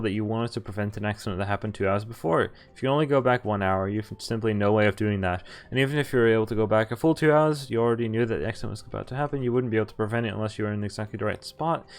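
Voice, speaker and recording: A young man doing a live let's play, reading game text aloud with informal commentary and the odd stumble. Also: lowish voice. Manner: informal, stumbles and rereads while sight-reading. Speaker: game streamer. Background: faint game music.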